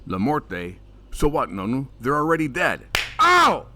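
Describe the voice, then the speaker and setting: A man's voice: a little high, huge pitch swings, a little slow, noisy background. A man playing a brash, foul-mouthed young grandson in a comedy audio drama, wisecracking and sarcastic. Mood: cheeky.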